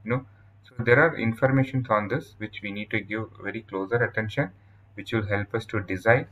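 A man with an Indian accent presenting a software tutorial in a halting, explanatory way over slides. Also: lowish voice. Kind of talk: informal scripted-outline tutorial narration over slides.

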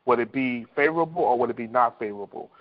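A man's voice: medium pitch, fast talker. A man giving informal team coaching on a conference call over the telephone, conversational and repeating himself. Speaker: insurance agent team leader. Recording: dull, lo-fi conference phone line.

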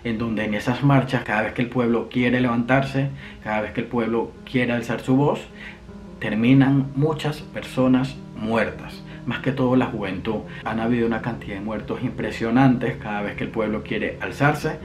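A young man with a Venezuelan accent talks straight to the camera in an earnest, explaining way, moving his hands as he speaks. His voice sits at an ordinary middle pitch. Faint music plays behind him in a room at home. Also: fairly even intonation, moderate pace. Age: young adult. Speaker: vlogger.

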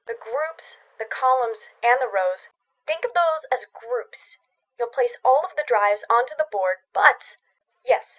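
A video game spy handler giving orders. Her voice sits a little high, with a directive, businesslike manner. It comes through a narrow, phone-like radio line. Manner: directive, instructional. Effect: radio or phone filter. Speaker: game character, mission handler.

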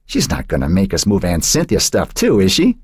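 A man's voice: low voice, huge pitch swings, brisk, breathy edge, no echo, studio quality, heavily acted. A man voicing a teenage boy who speaks to his mother in an anxious, plaintive way. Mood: worried.

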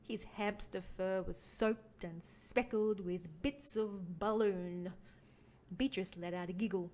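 A woman reading a children's picture book aloud as a teaching artist, with expressive emphasis for young listeners. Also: mid-range voice, slow. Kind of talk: animated children's storybook read-along.

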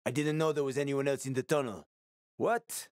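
Actor as a sly, streetwise survivor, close and dry. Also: male voice, mid-range voice, normal speed, clear, resonant tone, no echo, close to an everyday voice. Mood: sly, joking. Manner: sly, casual, teasing. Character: streetwise, wisecracking middle-aged male survivor and smuggler. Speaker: video game character. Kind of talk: video game voice acting, conversational.

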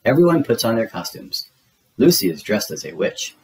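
A man narrating a children's storybook in an expressive, deliberate storytelling manner. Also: fairly low pitch, low-quality recording. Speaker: read-aloud narrator. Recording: music behind the voice. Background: music.

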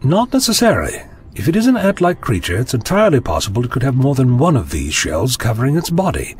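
An actor playing an eccentric, curious, self-assured adventurer in an audio drama, musing and wry. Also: male voice, mid-range voice, huge pitch swings, fast, darkish tone, slight background noise.

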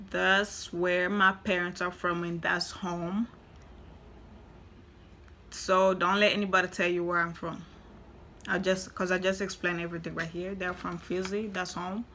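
Young woman, bright tone, lively casual vlogger chat into a webcam. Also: on the low side, unhurried, long pauses. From Tanzania. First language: Swahili. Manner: chatty, animated, repeats herself for emphasis. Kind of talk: casual talk to camera. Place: small indoor room.

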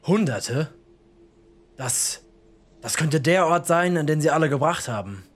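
A man's voice: on the high side, lively intonation, unhurried. A man voicing an uneasy, curious everyman in an audio horror story, chatty and hesitant. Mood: alarmed. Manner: hesitant, questioning.

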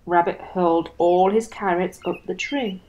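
A woman reading a picture book aloud to children as an expressive storyteller, leaning deliberately on key words. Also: lowish voice, lo-fi sound.

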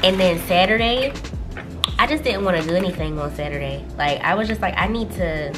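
A woman's voice: on the low side, lively intonation, fairly quick, music in the background. A woman with a stuffy cold voice, chatting casually and laughing often as she works through her budget planner on camera. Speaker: budgeting vlogger. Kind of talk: casual chat while working in a planner. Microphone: camera overhead on a desk.

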